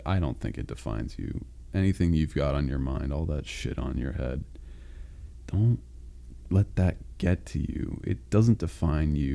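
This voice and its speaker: A man voicing a caring male sleep paralysis demon, speaking softly, with halting reassurance, in a comforting roleplay monologue. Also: heavily acted. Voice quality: deep gravelly growl. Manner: soft, reassuring, halting and unhurried.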